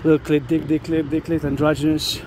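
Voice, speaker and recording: Man, fairly high voice, rambling vlog monologue, repetitive phrasing, recorded outdoors with loud street noise. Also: flat delivery, a little fast. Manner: repeats words and phrases insistently. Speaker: vlogger. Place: outdoors near a road with vehicles. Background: passing vehicles, urban ambience.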